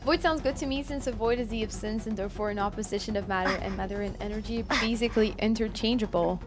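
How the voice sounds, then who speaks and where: A woman's voice: mid-range voice, fast, loud music behind the voice. A woman game streamer chatting casually and commenting live on her play. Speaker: game livestreamer.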